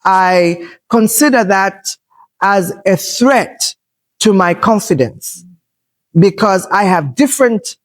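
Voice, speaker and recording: A woman in her fifties with a loud voice, talking in a reflective, assertive way as a podcast host and pausing often on fillers. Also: mid-range voice, lively intonation. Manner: assertive, unhurried, thoughtful with filler pauses. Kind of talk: reflective conversational talk.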